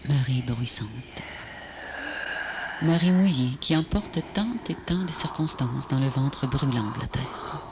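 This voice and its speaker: A woman recites poetry as a voice-over in a solemn, measured, breathy and often half-whispered delivery. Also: very deep voice. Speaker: poet narrator of a video poem. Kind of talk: poetic recitation, scripted voice-over. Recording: noisy VHS transfer, ambient noise.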